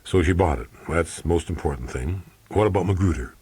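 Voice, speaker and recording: A middle-aged investigator from an audiobook crime story , played by a man, blunt and wry. His voice is low and husky, and under the tough, terse talk he is warm-hearted. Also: expressive, fairly quick, dry recording, full character voice.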